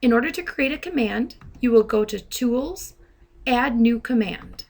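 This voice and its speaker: Woman doing a software how-to, highish voice w/ some vocal fry, deliberate and instructional. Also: expressive. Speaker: software trainer, presenter. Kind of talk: step-by-step tutorial narration. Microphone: handheld dictation microphone.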